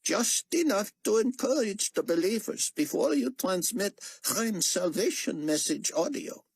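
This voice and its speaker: A man's voice: high voice, expressive, a little slow, creaky, husky, no echo, pitched above the performer's own voice, heavily acted. A man narrating an audiobook voices a nervous older male doctor who speaks stiffly and formally.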